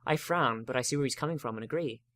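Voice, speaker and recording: A male narrator reads fiction dramatically in the first person. His voice is mid-to-high for a man, recorded dry with little room sound. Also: fast talker, fairly bright tone, no room sound. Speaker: story narrator.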